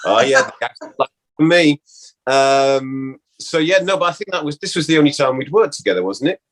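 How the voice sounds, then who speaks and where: A man, an actor being interviewed, reminisces casually in a halting way, often interrupting himself. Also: fairly high pitch, animated, a bit bright.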